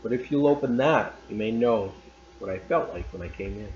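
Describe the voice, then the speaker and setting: A man reading as a slow, stunned young husband. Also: lowish voice, darkish tone, slight background noise, close to an everyday voice. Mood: tender. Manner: slow, measured. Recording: roomy, slightly dull recording.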